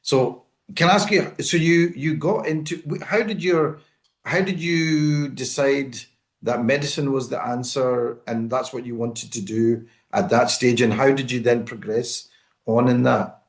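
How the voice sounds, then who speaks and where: A man's voice: medium pitch, fairly even intonation, a little slow. A man hosting a radio interview, asking questions in a conversational way with some hesitation. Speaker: radio host.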